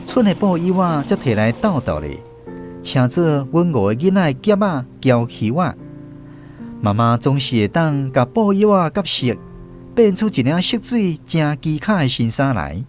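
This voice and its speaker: Radio drama narrator: Taiwanese man, medium-pitched, very melodic storytelling delivery, reminiscing over music. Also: very animated, moderate pace. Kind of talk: scripted storybook narration in first person, Taiwanese Hokkien.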